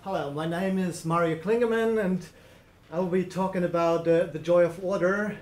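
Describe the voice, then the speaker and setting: A man's voice: high voice, fairly even intonation, normal speed. German-accented man giving a chatty talk. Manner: chatty, laughing, many fillers. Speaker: conference speaker. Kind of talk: presentation talk. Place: lecture room. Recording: echoey lecture room.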